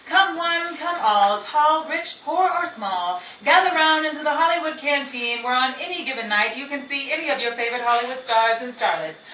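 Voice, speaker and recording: Wisecracking 1940s radio announcer gal, high and theatrical, heard in an echoey noisy room female performer. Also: moderate pace, few pauses, sharp tone. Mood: showy, inviting. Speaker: announcer and actress. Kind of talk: 1940s radio show acting, announcer delivery. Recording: echoey room, distant mic, crowd noise.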